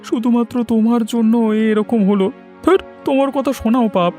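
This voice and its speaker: A man's voice: very high-pitched, normal speed, dark tone, faint music behind, pitched above the performer's own voice. A man voicing a flustered, obsequious village schemer in a cartoon. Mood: bitter. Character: fawning, excitable, cowardly village man, pleading schemer. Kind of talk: Bengali cartoon voice acting.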